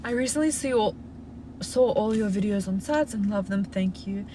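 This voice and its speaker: Young Australian woman host with a Russian first language, chatty and reassuring, mid-range voice, steady car noise behind her. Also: medium pitch, normal speed. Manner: chatty, frequent "like" fillers, reassuring advice-giver. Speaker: livestream host answering viewer questions. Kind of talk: casual live Q&A. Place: inside a parked car.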